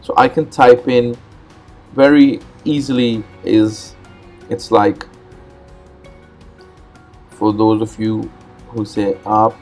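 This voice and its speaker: A man's voice: fairly low pitch, slow, pauses often, soft background music. Urdu-speaking man narrating a computer tutorial. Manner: relaxed, plain explaining with frequent "uh" and repeated words. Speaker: host. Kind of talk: step-by-step screen tutorial narration.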